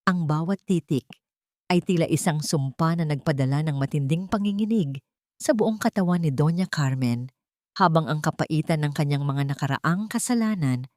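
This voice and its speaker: A woman's voice: deep voice, a little mellow, no echo, studio quality. A woman reading a scripted, dramatic story as its narrator. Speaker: storyteller, narrator of love stories.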